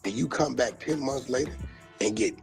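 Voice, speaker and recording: A man's voice: medium pitch, normal speed, gravelly, faint music behind. An American man in a slangy, emphatic monologue, calling people out in a confrontational way.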